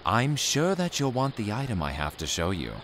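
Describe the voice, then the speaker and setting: A man playing a mysterious, courteous door-to-door salesman in an audio drama, speaking in a formal, smooth way. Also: mid-range voice, sing-song, normal speed, a bit bright, slight background noise. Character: mysterious polite male salesman dealing in calendars.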